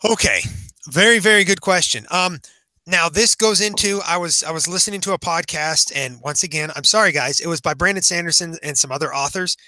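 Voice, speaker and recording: A man's voice: on the high side, a little fast, bright, forceful tone, no echo. A man co-hosting a podcast as an author, chatting casually with enthusiasm, emphasis and some repetition. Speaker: podcast co-host and fantasy author.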